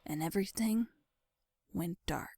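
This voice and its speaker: A woman's voice: mid-range voice, measured pace, background noise. A woman reading scripted story narration as the narrator. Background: music.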